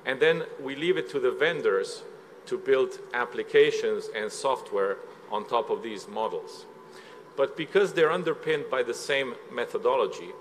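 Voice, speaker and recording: A man from Slovenia giving a measured, explanatory conference presentation, with frequent filler sounds. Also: medium pitch, a little hiss or hum. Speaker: conference speaker. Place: conference hall.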